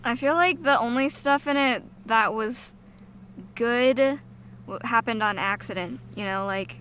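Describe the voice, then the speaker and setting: A woman's voice: on the high side, a little slow, background noise. A young woman co-hosting a casual film podcast, giving blunt, dry opinions. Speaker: podcast co-host.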